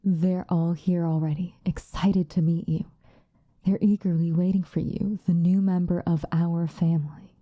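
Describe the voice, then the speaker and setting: Woman acting a dark, velvety, possessive female vampire. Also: on the low side, level tone, no echo, natural voice. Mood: tender, possessive. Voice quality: soft, breathy, often dropping to a whisper. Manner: slow, coaxing, condescendingly affectionate. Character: possessive female vampire lover, doting yet menacing. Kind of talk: ASMR roleplay monologue. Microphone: close microphone.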